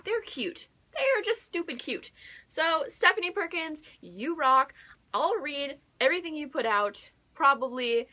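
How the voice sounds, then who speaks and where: Young American female book vlogger; high-pitched, bright, excitable and chatty, with emphatic repetition. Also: a little slow. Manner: gushing, emphatic, repeats words for emphasis. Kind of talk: casual excited chat to viewers.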